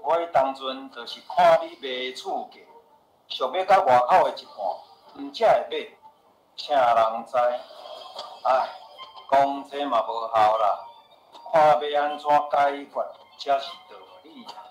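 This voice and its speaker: An actor with a Taiwanese accent playing an earnest, insistent pharmacy owner and worried homeowner in a radio drama. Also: male voice, medium pitch, animated, unhurried, natural voice. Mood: worried, complaining. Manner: persuasive, plain-spoken, insistent. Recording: roomy echo with music behind.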